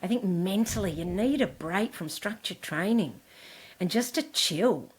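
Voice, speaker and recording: A woman's voice: medium pitch, lively intonation, measured pace, husky, rough recording. Chatty woman coach from Scotland. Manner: chatty, many fillers and restarts. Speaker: endurance coach, podcast guest. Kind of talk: casual interview chat.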